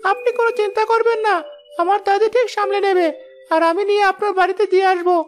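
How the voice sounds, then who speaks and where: A woman voicing an eager, caring young grandchild in an animated village moral story, earnest and reassuring. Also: very high voice, fast talker, slight background noise.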